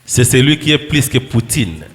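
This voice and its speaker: A man's voice: mid-range voice, very animated, normal speed, slight background noise. A man with a Congolese accent preaching as a pastor, emphatic and exhorting, in short repeated phrases with frequent checks that listeners follow. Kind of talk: charismatic church sermon delivered in short phrases for an interpreter. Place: church hall. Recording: echoey hall with long reverb.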